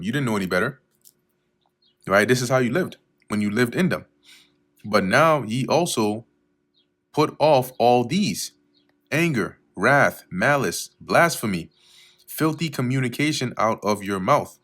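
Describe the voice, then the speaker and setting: Low-voiced man teaching Bible verses. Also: fairly bright tone. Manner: reads scripture slowly, then explains casually with fillers; frequent throat clearing.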